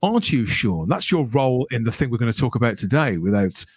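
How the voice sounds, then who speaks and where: A man's voice: medium pitch, big pitch swings, fast, husky, dry recording. A middle-aged man chatting enthusiastically and jokily as a podcast host, close to the microphone. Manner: enthusiastic, jokey, wordy. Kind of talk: chatty fan podcast talk. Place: small room at home, on a video call.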